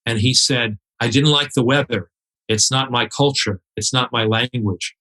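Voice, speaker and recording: A man's voice: medium pitch, steady tone, normal speed, pushed and bright, poor audio. A man guest on a podcast interview, telling stories earnestly and quoting other people with feeling, often restarting his sentences. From United States. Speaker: podcast guest, charity cyclist. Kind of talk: conversational interview storytelling. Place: home in New York City.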